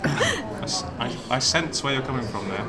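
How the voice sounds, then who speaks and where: A man interviewing guests in a conversational style, gently probing with leading questions. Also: mid-range voice, wildly swooping pitch, moderate pace, over a noisy crowd. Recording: loud traffic noise behind.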